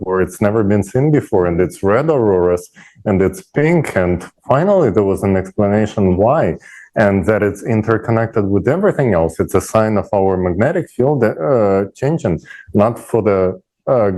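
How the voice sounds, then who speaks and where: Mellow, low-voiced man on a discussion panel. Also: expressive, moderate pace. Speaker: panel speaker.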